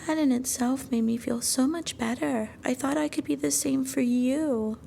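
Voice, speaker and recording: A woman playing a sweet, doting young anime girlfriend in a soothing ASMR roleplay, affectionate and gently coaxing. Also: high-pitched, normal speed, vocal fry, slight background noise. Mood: tender, unsure.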